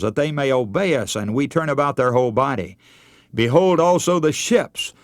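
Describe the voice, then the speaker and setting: Emphatic preaching man, lively pitch. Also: dry recording, clean studio sound. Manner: earnest, emphatic preaching with dramatic pauses. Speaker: radio preacher. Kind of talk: radio sermon.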